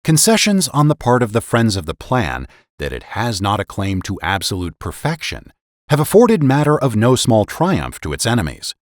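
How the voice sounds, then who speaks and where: A man's voice: fairly low pitch, huge pitch swings, normal speed, dry recording, clean studio sound. A man giving a dramatic reading of historical political essays in a formal, emphatic, oratorical manner. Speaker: podcast host and narrator.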